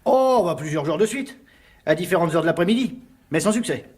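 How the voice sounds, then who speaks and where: A man's voice: high voice, animated, brisk, darkish tone. An actor playing an affable, breezy encyclopedia deliveryman in a French radio drama, chatting familiarly with casual tag words. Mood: affable, chatty. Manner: chatty, familiar, frequent "hein" tags.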